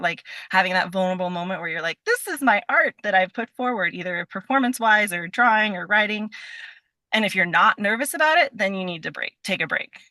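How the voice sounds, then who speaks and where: A woman guest in a casual interview with a bright, forward voice and a dry sound. She is effusive and giggly, wandering off into asides as she talks. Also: mid-range voice, dry recording. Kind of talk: casual chat.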